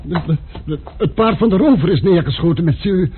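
A man's voice: sits high, lively intonation, fairly quick. A man playing a stammering, nervous innkeeper. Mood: anxious. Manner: stammering, anxious. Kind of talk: radio drama acting. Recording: tinny lo-fi radio play recording with faint hiss.

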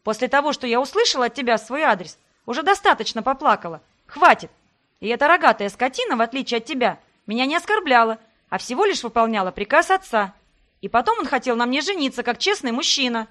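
A woman voicing a hot-tempered, sharp-tongued young heroine who shouts in indignant exasperation. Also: fairly high pitch, lively intonation, fairly quick. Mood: furious.

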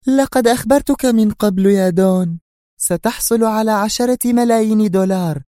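A man voicing a nervous, sharp-tongued woman spy in an audiobook, speaking in a high, strained and urgent voice. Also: normal speed, no echo, clean studio sound, natural voice. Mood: agitated. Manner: urgent, agitated. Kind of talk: audiobook character reading.

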